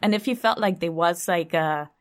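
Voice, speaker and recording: A woman's voice: lowish voice, moderate pace. A woman co-hosting an interview in a casual, conversational style, phrasing her questions informally and tentatively. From Zimbabwe. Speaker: interviewer, co-host.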